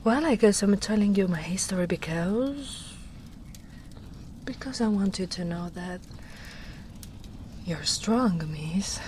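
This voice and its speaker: A woman voicing a gentle, protective big female ogre in a tender, soft-spoken ASMR roleplay, often slipping into whispers. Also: medium pitch, animated, slow pace, pauses often, slight background noise.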